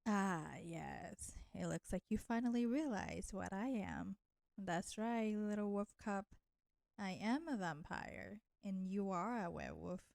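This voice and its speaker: Woman playing a teasing vampire seductress, creaky voice. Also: mid-range voice, a little mellow, no room sound. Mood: teasing menace. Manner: slow, teasing, coaxing, addressing listener with pet names. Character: flirty, teasing, nurturing female vampire, ancient enemy turned caretaker. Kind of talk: intimate roleplay audio drama.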